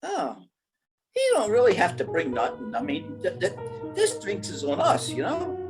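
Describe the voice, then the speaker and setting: A man's voice: high-pitched, huge pitch swings, normal speed, over loud music, full character voice. A performer playing a dim, lovestruck male gangster in an old-time radio play, stammering and bumbling in slangy talk. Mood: bumbling, eager.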